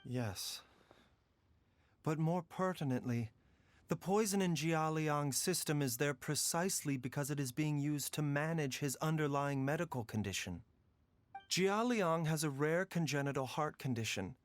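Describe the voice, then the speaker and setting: A man playing a calm, courteous doctor in a video game, speaking in a measured, formal way with grave concern. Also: on the high side, no room sound, natural voice. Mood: calm, explanatory. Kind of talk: scripted video game voice acting. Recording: background music under the voice.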